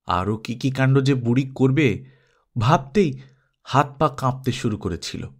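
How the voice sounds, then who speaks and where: A man reading a literary story aloud as an audiobook narrator, in an expressive, dramatic storytelling manner. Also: medium pitch, measured pace, breathy edge.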